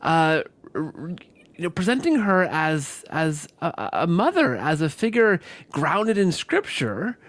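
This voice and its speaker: A man hosting a podcast interview in a conversational way, phrasing his thoughts haltingly with repeated words. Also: on the high side, sing-song, a bit bright, no echo. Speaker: podcast host.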